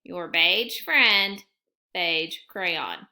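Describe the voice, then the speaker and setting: A woman's voice: fairly low pitch, drawn-out words, bright, forceful tone, pitched below the performer's own voice, exaggerated voice. A woman voicing a proud, resentful crayon in a children's storybook, indignant and emphatic about being overlooked. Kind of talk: children's storybook read-aloud.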